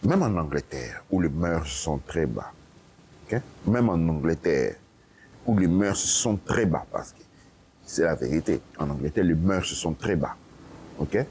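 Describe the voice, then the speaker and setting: A man with a very low, gravelly voice lectures in an interview, swinging his intonation widely. He drives his points home by repeating them and tossing in "ok?" to check he is followed. A faint hiss sits under the recording. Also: expressive, unhurried, darkish tone. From Italy. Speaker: guest speaker.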